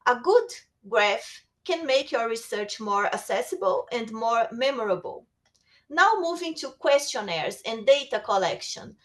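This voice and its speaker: A woman's voice: fairly high pitch, expressive, a little slow. A woman whose first language is Portuguese, presenting a webinar lecture on writing tools, reading prepared text with small restarts. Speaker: webinar speaker.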